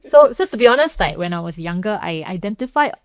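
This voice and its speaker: A woman's voice: mid-range voice, expressive, fast, no room sound, studio quality. Chatty Singaporean woman podcast host. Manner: chatty, storytelling, frequent "like" and "you know". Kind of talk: casual conversational interview.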